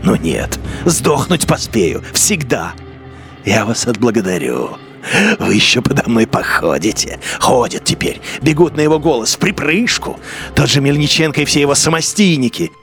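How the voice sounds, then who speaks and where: An actor playing a bitter, haunted male turncoat officer in a radio play, brooding and moving between low mutters and sharp outbursts. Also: big pitch swings, moderate pace, vocal fry. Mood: vengeful. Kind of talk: radio drama inner monologue. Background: music.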